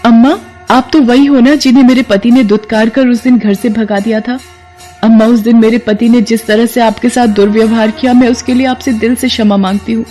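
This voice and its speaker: A woman's voice: a little high, fairly even intonation, fairly quick, dark tone, faint music behind, voice pushed up, lightly acted. Woman acting a plaintive, devout young wife. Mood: humble, distressed. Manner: plaintive, pleading. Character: distressed devout young wife, humble and pleading.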